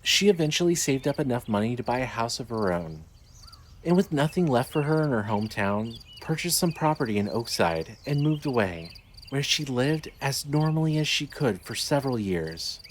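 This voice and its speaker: A man's voice: medium pitch, animated, normal speed, a little hiss or hum. A man reading scripted narration as the narrator and announcer of a fiction podcast.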